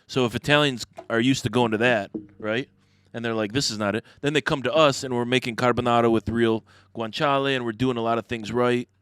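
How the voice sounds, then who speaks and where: A man's voice: medium pitch, fairly bright tone, clean tone, no echo, studio quality. An American man from the Detroit area talking casually as an interview guest, emphatic and plain-spoken. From Detroit area, United States. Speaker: podcast guest, restaurateur.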